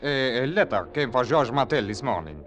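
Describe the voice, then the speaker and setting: Casting: genial paternal older man, very animated intonation, courteous and wry, golden-age radio drama. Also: medium pitch, fairly quick, dull audio, faint music behind. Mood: wry, gentle. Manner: formal, hesitant, genial foreign-style phrasing. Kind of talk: 1940s-50s radio drama acting. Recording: vintage radio broadcast recording.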